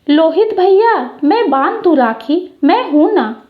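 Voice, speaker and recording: A woman's voice: very high-pitched, big pitch swings, unhurried, roomy sound, narrow, tinny audio, exaggerated voice. A woman voicing an innocent, eager three-year-old girl with lisping baby talk in a told story. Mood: sweet, eager.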